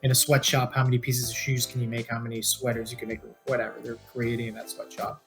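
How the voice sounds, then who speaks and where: A man's voice: mid-range voice, monotone, fast, soft background music. A man co-hosting a podcast in casual chat, informal and mildly profane, often checking in with rhetorical questions. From Florida, United States. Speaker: podcast co-host.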